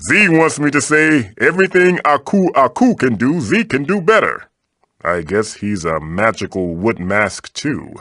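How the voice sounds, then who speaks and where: Game mask spirit: mid-pitched, wry, playful, theatrical, unhurried and very animated. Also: male voice, no echo, studio quality, full character voice. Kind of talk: comic video game character voice acting.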